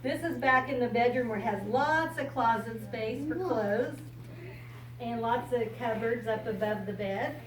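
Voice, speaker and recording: A woman's voice: fairly high pitch, unhurried. An older American woman talking informally and reading to young children, explaining things simply. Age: 60s or older. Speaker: storyteller and RV enthusiast visiting children. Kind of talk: informal talk and picture-book reading to children. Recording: echoey room, distant mic, loud background noise.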